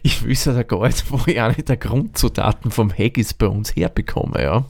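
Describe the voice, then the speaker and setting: A man's voice: mid-range voice, moderate pace, vocal fry, a little mellow. A man, co-hosting a casual beer tasting chat, rambling and thinking aloud. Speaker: beer podcast co-host.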